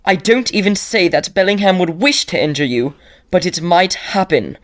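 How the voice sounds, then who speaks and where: Anxious, honourable young Victorian gentleman played by a woman, slightly low for a female voice, earnest period phrasing, audio drama. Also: lively intonation, moderate pace, lightly acted. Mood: anxious pleading. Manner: formal, old-fashioned phrasing.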